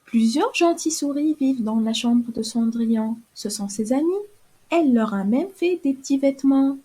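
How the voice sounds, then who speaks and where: A woman narrating a children's cartoon tale in a calm, clear storytelling manner. Her voice is warm and mellow, a little high, with a breathy softness. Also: moderate pace.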